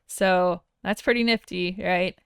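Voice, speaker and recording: A woman narrating an informal software tutorial in a plain-spoken, explanatory way. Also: on the low side, steady tone, slow, creaky, clear, resonant tone, dry recording, clean studio sound. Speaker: audio software instructor.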